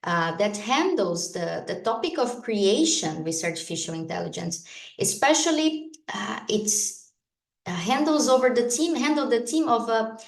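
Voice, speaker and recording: A woman from Brazil with a Brazilian Portuguese accent, a lawyer giving a conference talk, explaining in a measured way with frequent hesitations. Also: on the high side, animated, gravelly. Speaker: guest speaker, lawyer. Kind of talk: conference presentation. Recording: echoey room.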